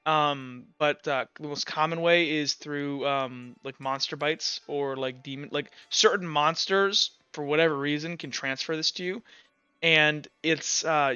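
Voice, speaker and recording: Man, game master, clear bright voice, loose improvised narration, dry slightly dull recording. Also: on the high side, dry recording. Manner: casual, loose, searching for words.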